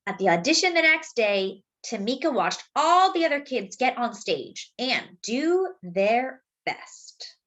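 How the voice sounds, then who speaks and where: A woman reading a children's story aloud expressively, phrasing deliberately as if to young listeners. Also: on the high side, big pitch swings, fairly bright tone. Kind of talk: expressive picture-book read-aloud with asides to young listeners.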